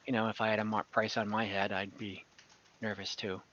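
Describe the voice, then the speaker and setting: Man playing a cynical, weary female loner guard, bright voice. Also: lowish voice, flat delivery, a little hiss or hum. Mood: matter-of-fact. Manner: halting, cynical tone. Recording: dull online voice-call audio.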